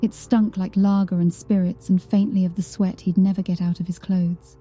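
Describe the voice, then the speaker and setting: A woman's voice: on the low side, little pitch movement, moderate pace, warm tone, soft background music. A woman reading a scripted horror story as an audiobook narrator, in a dramatic storytelling style.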